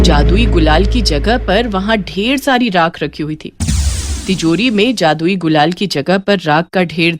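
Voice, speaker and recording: A woman's voice: mid-range voice, darkish tone. A woman narrating a scripted children's moral story. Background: music.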